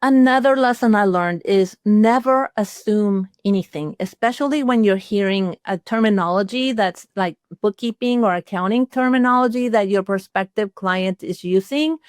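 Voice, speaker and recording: A woman's voice: medium pitch, moderate pace, few pauses, no room sound, studio quality. An older woman from Chile, a retired accountant, giving a conversational instructional webinar and walking through sample phrases.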